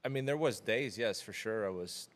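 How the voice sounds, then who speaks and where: A man in his thirties from the Florida Keys, talking casually as an interview guest in a relaxed, rambling way with many fillers. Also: fairly low pitch, moderate pace. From Florida Keys, USA. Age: 30s. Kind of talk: casual conversation.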